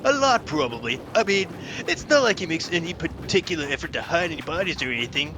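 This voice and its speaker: A man's voice: fairly high pitch, sing-song, fast talker. A man doing a casual, playful dramatic reading of a fan comic. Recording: noisy home recording.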